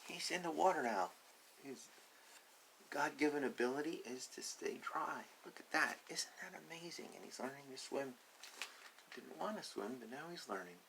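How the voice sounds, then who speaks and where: A man's voice: mid-range voice, animated, normal speed, vocal fry, a bit bright, a little hiss or hum, poor audio. A man reading a picture book aloud to young children, explaining simply with repeated asides. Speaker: storybook reader.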